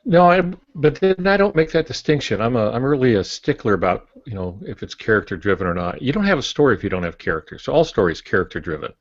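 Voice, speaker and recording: An older man co-hosting a podcast, giving firm opinions in casual talk with pauses mid-thought. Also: mid-range voice, very animated, muffled recording. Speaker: podcast co-host.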